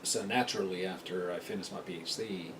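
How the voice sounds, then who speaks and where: A middle-aged man from Spain speaking reflectively in a documentary interview, with a hesitant, halting delivery. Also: fairly even intonation. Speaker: interviewee, university employee. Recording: noisy, rumbling, distant mic.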